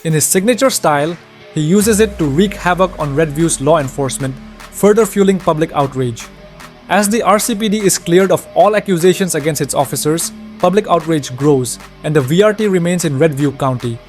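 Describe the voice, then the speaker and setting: A man's voice: on the high side, fairly quick, soft background music. A man reading scripted narration as the narrator of a video game story recap.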